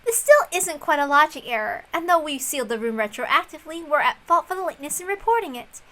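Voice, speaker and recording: A woman's voice: high voice, big pitch swings, fast talker, a bit bright, pitched above the performer's own voice. A woman voicing a needling, formally polite young female detective rival in a visual novel reading. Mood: smug, taunting.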